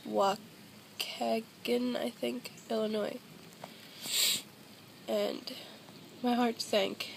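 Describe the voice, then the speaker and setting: Young woman, high-pitched, little melodic variation, hesitant casual confessional talk, faint background noise, home device mic. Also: level tone, drawn-out words, vocal fry, long pauses. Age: young adult.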